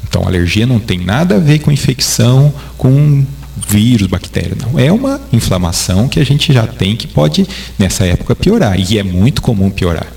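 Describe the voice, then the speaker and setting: A man's voice: medium pitch, big pitch swings, moderate pace, vocal fry, darkish tone. A man with a Brazilian Portuguese accent, a doctor answering interview questions in a patient, didactic way. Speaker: doctor guest.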